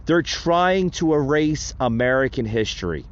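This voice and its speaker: A man's voice: mid-range voice, lively intonation, unhurried. A man hosting a podcast in a casual monologue, often repeating himself and addressing his listeners directly. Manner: repetitive, addresses listeners as guys. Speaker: podcast host. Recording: dull, lo-fi sound.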